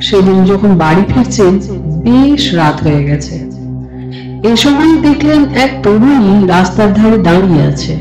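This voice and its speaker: A man narrating a scripted suspense story in a dramatic, measured way, with deliberate pauses. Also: high voice, darkish tone. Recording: roomy echo effect with background music. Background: music.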